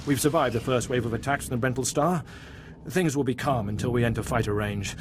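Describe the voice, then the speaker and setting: A man playing a dutiful, embattled Imperial starship captain in a video game, with crisp military authority. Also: medium pitch, a little fast, background noise, natural voice. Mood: businesslike. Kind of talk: scripted game voice acting.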